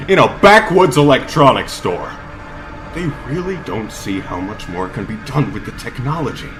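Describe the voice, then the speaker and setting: A man's voice: medium pitch, big pitch swings, moderate pace, over music, lightly acted. A man playing a bitter electronics repairman villain in an audio drama, who puts on folksy politeness over arrogant contempt. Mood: bitter, contemptuous. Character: bitter male tech repairman villain, falsely chipper with customers.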